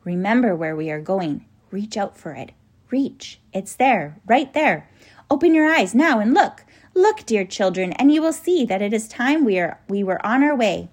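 Storybook role: kindly professor, grave and earnest, measured guide to children played by a female reader. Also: lowish voice, a little mellow, natural voice. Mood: hushed, urging.

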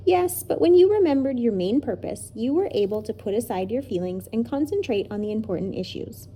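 A woman's voice: on the high side, lively intonation, fairly quick, dark tone, slight background noise, natural voice. A woman voicing a weary, wise old male professor who lectures kindly but tiredly. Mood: lecturing.

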